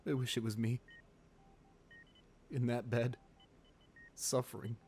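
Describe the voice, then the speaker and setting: A man playing a ruthless but devoted lover in an intimate romantic ASMR roleplay, speaking in a halting, emotional, pleading way. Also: medium pitch, little pitch movement, darkish tone, a little hiss or hum, lightly acted. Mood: anguished.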